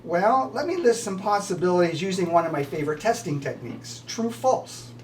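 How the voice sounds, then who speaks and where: A man's voice: sits high, lively intonation, fairly quick. An older man, a retiring college professor, giving prepared farewell remarks. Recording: distant mic with slight background noise.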